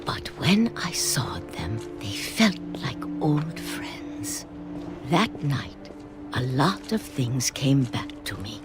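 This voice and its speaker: A woman's voice: a bass voice, big pitch swings, slow pace, whispered, over music, heavily acted. An actress playing a very old, stubborn great-grandmother who tells stories wistfully in a video game. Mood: wistful, hushed. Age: 80s or older. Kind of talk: slow dramatic narration in a video game.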